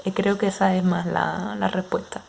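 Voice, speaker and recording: A young woman in her twenties with an Andalusian accent, answering viewers' questions in a relaxed, hesitant way with many fillers. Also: lowish voice, vocal fry, slight background noise. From Andalusia, Spain. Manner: unhurried, hesitant with frequent fillers. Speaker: ASMR creator. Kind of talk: casual Q&A chat with viewers.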